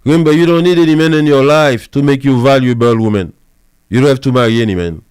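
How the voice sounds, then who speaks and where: A man's voice: mid-range voice, fast, a little mellow, clear, resonant tone, dry recording, clean studio sound. A man lecturing women on marriage as a pastor and counselor, repeating his points with emphasis. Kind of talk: preachy advice monologue.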